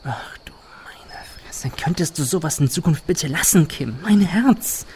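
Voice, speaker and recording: A woman's voice: sits low, expressive, unhurried, a little mellow, a little hiss or hum. A woman voicing a jumpy, dramatic teenage boy adventurer in a radio play. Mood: excited. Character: excitable teen boy Ben, adventurer. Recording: echoey room.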